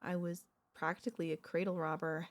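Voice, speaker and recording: A woman voicing a centuries-old vampire mistress, a tender, possessive girlfriend, speaking softly and intimately with courtly wording and hesitant pauses in an ASMR roleplay. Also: fairly low pitch, little pitch movement, no room sound, lightly acted. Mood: tender, confiding. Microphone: close studio microphone, dry.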